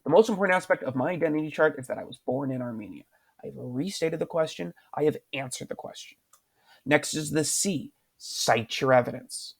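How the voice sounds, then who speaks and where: Gravelly-voiced male teacher walking through a lesson. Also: fairly high pitch, a little fast. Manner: patient, repeats questions to prompt students. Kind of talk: screen-recorded writing lesson.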